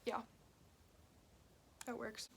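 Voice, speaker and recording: A woman in her twenties telling a story in a casual, chatty vlog, with lots of fillers. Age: 20s. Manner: chatty, quick, many fillers. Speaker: vlogger. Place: at home.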